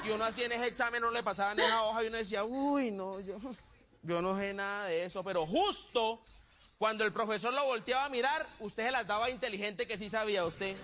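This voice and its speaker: High-voiced Colombian man, playful comic storyteller. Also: a little fast, slight background noise. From Neiva, Colombia. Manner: playful, animated, acts out dialogue. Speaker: comedian and guest. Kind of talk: comic storytelling, stand-up and banter.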